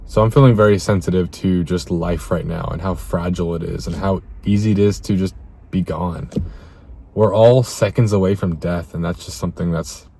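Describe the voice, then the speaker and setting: A slightly hoarse man talking in an earnest, reflective vlog and reading scripture aloud, halting now and then over repeated words. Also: low-pitched, fairly even intonation, warm tone, slight background noise. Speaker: self-improvement vlogger.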